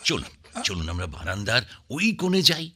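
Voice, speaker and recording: An actor playing a sardonic, bitter figure in a radio drama, talking dryly with wry laughter. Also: male voice, fairly low pitch, sing-song, a little fast, crowd noise. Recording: radio play with faint music.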